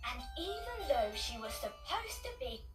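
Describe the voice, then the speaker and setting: A woman narrating children's stories in an expressive, deliberate storybook manner. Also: high-pitched. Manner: animated, deliberate storybook delivery. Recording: roomy, distant, loud music behind. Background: loud music.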